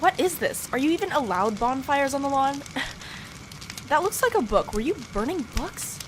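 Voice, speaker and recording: A bossy young female student played by a woman in an audio drama, high-voiced and scornful. She sounds mocking and incredulous, over noisy, crackling outdoor ambience. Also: sing-song, moderate pace. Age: young adult.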